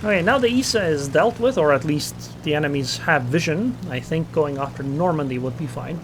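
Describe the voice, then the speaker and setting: A man's voice: on the high side, fairly quick, slight background noise. A man gives casual live commentary over a naval battle game, thinking aloud about his next moves. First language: Estonian. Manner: thinks aloud, muttering plans and small reactions. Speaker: gameplay commentator. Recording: game sounds under the voice. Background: game engine and gunfire sounds.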